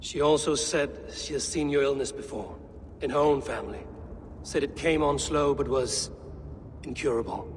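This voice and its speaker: A man voicing a serious, watchful Viking warrior in a video game, measured and quietly questioning. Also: mid-range voice, natural voice. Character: steady, watchful Viking warrior, loyal and probing. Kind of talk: video game cutscene acting. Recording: long echoing reverb, faint ambient noise.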